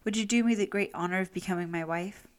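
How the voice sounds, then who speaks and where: A woman's voice: mid-range voice, fast, lightly acted. An eager young prince from a fairy tale, played by a woman reading aloud. He speaks in a courtly storybook manner.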